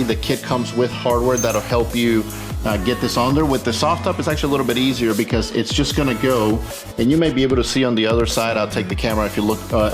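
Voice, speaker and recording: A man hosting a casual how-to video, thinking aloud informally as he works through an installation. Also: mid-range voice. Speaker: host of a car modification tutorial. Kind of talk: casual hands-on how-to narration while working.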